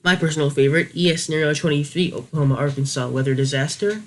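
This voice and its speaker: A man's voice: fairly high pitch, fast talker. A young man giving a casual, unscripted review, thinking aloud in a hesitant way as he goes. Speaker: YouTube reviewer.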